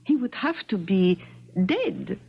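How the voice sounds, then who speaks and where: A woman's voice: medium pitch, sing-song, slow pace, creaky, slightly rough, full character voice. An actress playing a coquettish, worldly French woman in a 1970s radio drama, teasing and indifferent. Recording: dull vintage 1970s radio recording.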